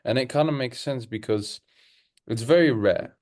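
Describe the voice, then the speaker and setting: A man's voice: medium pitch, normal speed, a little mellow. A young man co-hosting a casual podcast over a video call from his room, giving advice with a put-on British-sounding accent. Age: young adult. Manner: playful, then earnest advice-giving. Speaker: podcast co-host. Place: room at home, seated in gaming chair. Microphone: video call webcam.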